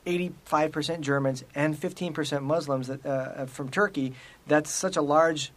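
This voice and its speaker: A man's voice: on the high side, steady tone. A man co-hosting a podcast, rambling through his points with many fillers like "you know" and "like". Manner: rapid, rambling, full of fillers. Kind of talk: casual discussion.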